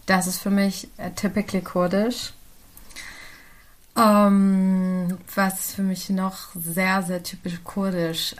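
A young woman in her twenties talks as a podcast guest. Her voice sits fairly low and stays flat and even. She speaks hesitantly, pausing often with fillers and starting sentences over. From Germany. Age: 20s. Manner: hesitant, many fillers and restarts. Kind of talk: casual conversational interview.

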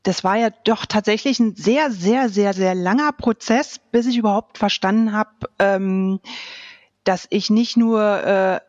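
A woman's voice: mid-range voice, no room sound. A woman in her late thirties talks casually as a podcast guest. She feels her way hesitantly through her answers, searching for words. The sound is dry and a little muffled. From Berlin, Germany. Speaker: podcast guest, youth welfare coordinator. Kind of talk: casual personal interview answers.

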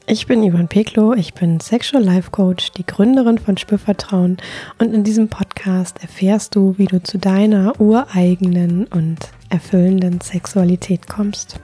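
A woman hosting her own podcast as a coach, speaking gently and reflectively, a little nasal from a cold. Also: mid-range voice, fairly even intonation, a little slow, husky. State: has a cold, slightly nasal. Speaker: podcast host and sexual life coach. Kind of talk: solo podcast talk.

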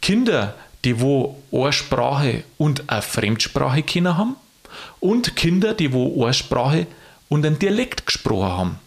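A man, chatting casually as a show host and rising in pitch for emphasis. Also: big pitch swings, a little slow, gravelly. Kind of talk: casual chat.

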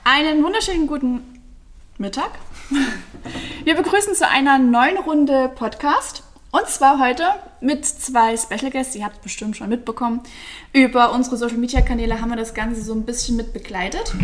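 A woman's voice: high-pitched, slight background noise. A young woman hosting a podcast, chatty and laughing easily as she talks with guests. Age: young adult. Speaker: podcast host. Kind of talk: casual podcast chat.

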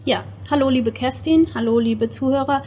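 A woman in her thirties, a photographer, answering interview questions in a steady, earnest way with some fillers, sitting outdoors. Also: sits high, moderate pace, husky. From Germany, near Erfurt. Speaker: guest, photographer. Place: outdoor terrace. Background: outdoor ambience.

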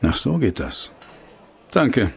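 An actor playing a determined, disillusioned investigator in a political radio play, measured and dryly sardonic. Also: male voice, lowish voice, huge pitch swings, creaky, slight background noise, exaggerated voice. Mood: dry, knowing. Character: determined male investigator, disillusioned with corrupt state authorities.

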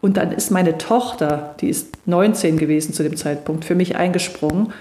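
A middle-aged woman coaching on voice and stage fright, explaining calmly and reassuringly in a podcast conversation. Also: lowish voice, moderate pace, slight background noise. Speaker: voice and charisma coach. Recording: echoey room.

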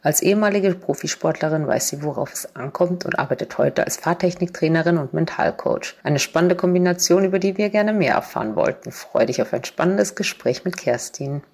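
A woman's voice: sits low, brisk, vocal fry, hardly any pauses, a little mellow, reverberant. A woman hosting a podcast, introducing her guest and asking upbeat, friendly questions. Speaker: podcast host.

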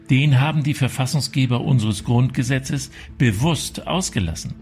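Gravelly man reading a radio commentary. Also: mid-range voice, a little mellow. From Berlin, Germany. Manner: measured, earnest delivery.

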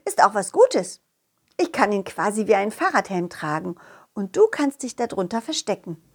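A woman's voice: mid-range voice, normal speed, breathy edge. A woman voicing a cheerful, confident, loyal talking dog who tells his adventures playfully and expressively in a children's audio play. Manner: playful, expressive, addressing a companion.

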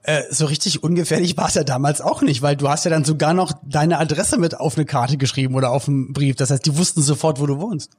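Husky, higher-pitched man chatting. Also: fast talker, few pauses, a little mellow. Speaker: podcast host. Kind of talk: casual chat.

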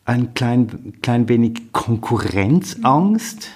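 A man, hosting a discussion and asking probing, measured questions. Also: medium pitch, lively intonation, darkish tone, breathy edge. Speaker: talk show host. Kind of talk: moderated studio discussion.

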